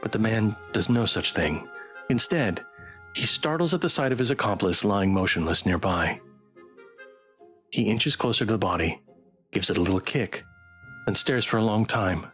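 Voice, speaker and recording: Expressive man narrating over music. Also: lowish voice, normal speed, pauses often, slightly rough. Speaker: streamer reading a visual novel aloud. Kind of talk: dramatic scripted narration. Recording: music behind.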